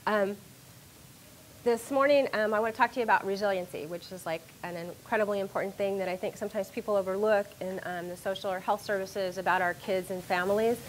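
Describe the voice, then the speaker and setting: A middle-aged woman, a university professor, giving an animated, self-deprecating lecture to an audience on a microphone in a lecture room. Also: medium pitch, brisk, a little hiss or hum, poor audio. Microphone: handheld or stand microphone.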